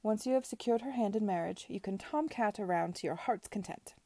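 Brief: woman reading haughty older lady aristocrat, curt, condescending, mellow tone. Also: medium pitch, fairly quick, dry recording, natural voice. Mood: imperious.

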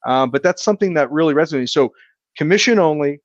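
A man's voice: fairly high pitch, expressive, quick pace, no room sound, muffled recording. Earnest man in his thirties, reflective. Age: 30s. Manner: earnest, self-reflective, with frequent restarts. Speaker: insurance agency owner, guest. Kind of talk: casual business interview.

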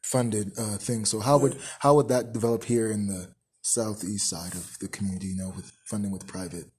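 An American man asking questions in a casual interview, in a halting way, rewording them as he goes. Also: on the low side, fairly even intonation, a little mellow, breathy edge.

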